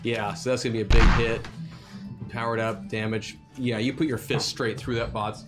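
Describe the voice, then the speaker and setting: A man at a mid pitch runs a tabletop game for his players, loose and chatty, often filling pauses with ums and laughing easily. Music plays clearly behind him. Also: flat delivery. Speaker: game master. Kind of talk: running a live-streamed tabletop game.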